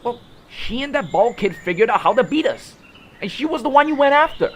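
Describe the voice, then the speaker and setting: A man's voice: extremely high pitch, very animated, brisk, a bit bright, full character voice. A man playing a grumbling, resentful monster henchman in an audio drama, exasperated as he talks. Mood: confused, protesting. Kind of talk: scripted audio drama acting. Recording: echoey reverberant voice, background birdsong noise. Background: birdsong, forest ambience.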